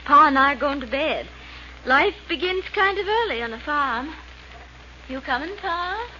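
An actress playing a kindly, homely older farm wife in 1940s radio style. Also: female voice, high voice, animated, unhurried, sharp tone, heavily acted. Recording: muffled, very noisy old radio recording.

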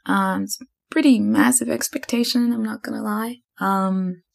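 A woman's voice: fairly high pitch, steady tone, moderate pace, creaky. A young woman from Zimbabwe and New Zealand hosting a solo podcast, rambling and thinking aloud with lots of fillers. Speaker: podcast host.

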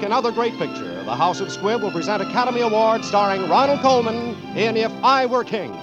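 A male American radio announcer in the polished 1940s manner, with a fairly high, lively, sweeping voice. He reads formally and emphatically on a dull vintage recording with music.

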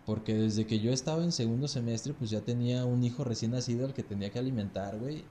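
Mexican man in his 30s, podcast guest, dark mellow voice, relaxed and foul-mouthed, echoey room. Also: medium pitch, fast talker, a little hiss or hum. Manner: relaxed, crude slang, ends phrases with "güey". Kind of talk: casual storytelling chat.

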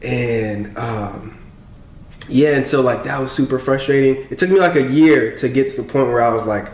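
A young man from Congo chats as a podcast guest, telling stories with lots of laughter and energy. His voice sits at an ordinary male pitch. The room around him sounds echoey. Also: moderate pace. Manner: animated storyteller, laughs often. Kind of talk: casual interview chat.

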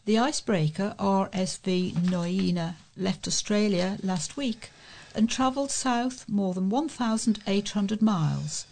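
A woman's voice: medium pitch, vocal fry, a little mellow. A woman reading news reports aloud as a volunteer newsreader.

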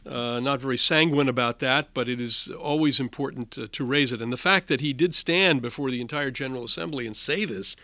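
A man hosting a formal policy interview, speaking in measured, halting phrases. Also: medium pitch, sharp tone, no room sound. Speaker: interview host.

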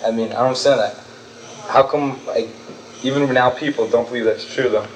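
A man's voice: medium pitch, steady tone, a little fast, slight background noise. Hesitant man asking from far off. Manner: hesitant, with many fillers. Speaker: audience member asking a question.

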